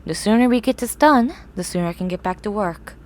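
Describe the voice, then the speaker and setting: A woman playing a grumpy, eccentric young horse-girl scientist in an ASMR roleplay, with formal, haughty, drawn-out wording. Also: mid-range voice, expressive, darkish tone, close to an everyday voice. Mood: pleased, businesslike. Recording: clear background noise, ambient sound.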